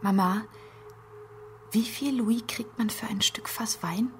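An actress playing a gentle, earnest young daughter in a radio drama, soft and often half-whispering. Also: female voice, medium pitch, takes it slowly, soft background music, lightly acted. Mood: curious, hushed. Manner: soft, tender, curious.

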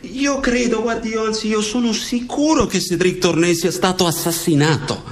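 A man's voice: sits high, steady tone, normal speed, pitched above the performer's own voice, lightly acted. A man playing a flustered young priest in a radio drama, stammering and repeating himself as he backtracks. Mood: flustered, evasive. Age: young adult. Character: flustered young priest nervously denying his own claim. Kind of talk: theatrical radio drama acting.

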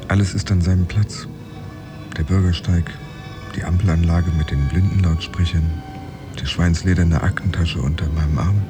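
A man acting an anxious, proud pretend office worker, narrating his thoughts tensely and deliberately in a radio play. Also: sits low. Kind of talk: interior monologue in a radio play.

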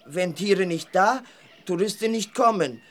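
A woman's voice: mid-range voice, expressive, a little slow, close to an everyday voice. A woman playing a friendly young African hotel boy who speaks eagerly in simple, broken grammar in a children's audio drama. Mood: worried.

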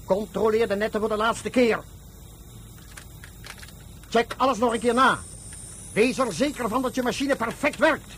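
An actor playing a resolute, exacting fleet commander in a radio drama, giving clipped, authoritative orders. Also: male voice, very high-pitched, normal speed, long pauses, fairly bright tone, slight background noise. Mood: brisk, commanding.

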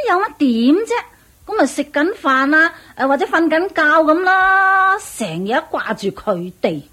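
A woman's voice: high-pitched, big pitch swings, unhurried, pushed and bright, full character voice. An actress playing a doting but easily exasperated female companion in a radio drama, fussing and cajoling as she talks. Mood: nagging, exasperated. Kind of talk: Cantonese radio drama acting.

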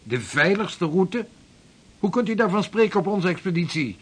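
A man's voice: sits high, animated, moderate pace, husky. A man acting in a historical radio play as a devout, determined Arctic explorer, speaking formally and with authority. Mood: commanding, impatient. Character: driven, devout 16th-century male Dutch Arctic explorer.

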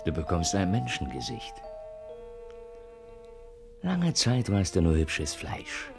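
An actor playing a brooding, tender, world-weary bohemian lover, murmuring intimately and huskily in a radio play. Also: male voice, fairly low pitch, wildly swooping pitch, slow, full character voice. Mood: tender, wry. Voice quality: husky. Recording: music under studio radio drama. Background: music.

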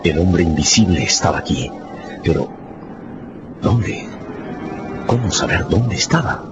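A male narrator with a low voice tells a scripted radio story. His delivery is dramatic and lively in intonation. Music plays behind him. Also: a little slow, dull audio.

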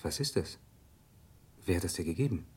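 An actor playing a stern, upright shopkeeper and husband in a radio play, measured, gruff and authoritative. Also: male voice, low-pitched, animated, heavily acted. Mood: suspicious. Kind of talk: German radio play acting.

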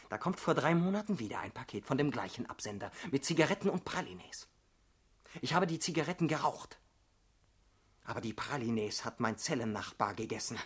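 A young man just out of prison in a radio play. His voice is medium-pitched and husky. He talks at length with bitter irony and brooding defiance. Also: a bit bright. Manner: talkative, ironic, brooding. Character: young ex-convict party member, bitter, talkative, defiant. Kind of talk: German radio drama acting.

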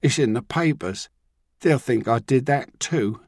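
A man's voice: mid-range voice, moderate pace, dark tone, no echo, voice pushed up, natural voice. A man plays a frightened young runaway, sulky and pleading, his voice lifted above the reader's own and drawn out. It sounds close and dry. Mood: desperate.

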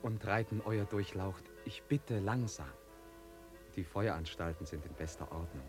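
An actor playing a calm, deferential young courtly squire in a radio play, polite and formal. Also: male voice, low-pitched, measured pace, music in the background. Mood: cautioning, reassuring. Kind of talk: literary radio play acting.

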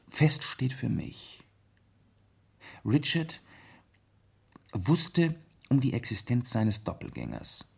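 An actor playing a gentleman detective in a 1970s radio play, reasoning calmly in with measured, deliberate pauses. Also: mid-range voice, gravelly, breathy edge, heavily acted. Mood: pondering, explanatory.

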